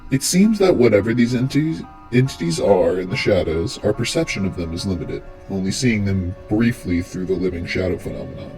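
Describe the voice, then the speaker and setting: Low-voiced man narrating over music. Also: sing-song, brisk, hardly any pauses, rough recording. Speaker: host, narrator of horror explainer videos. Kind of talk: scripted commentary narration. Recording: music under the voice.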